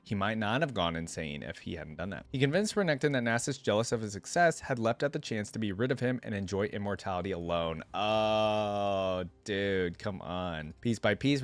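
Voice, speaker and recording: A man reading stories aloud and reacting casually as a video host, expressive and informal. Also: on the low side. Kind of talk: reading lore aloud with casual off-the-cuff commentary.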